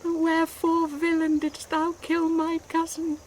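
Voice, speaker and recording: An actress with a British accent playing a passionate, distraught young bride in classical Shakespearean radio drama, phrasing verse theatrically. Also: female voice, extremely high pitch, monotone, a little slow, a little mellow, slight background noise. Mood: anguished, tender.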